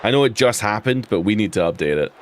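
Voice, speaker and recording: A man's voice: fairly low pitch, animated, fast talker, slight background noise. A man with an Edinburgh Scottish accent chatting casually while playing a wrestling game, rambling and restarting his sentences. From Scotland. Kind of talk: casual live commentary on gameplay.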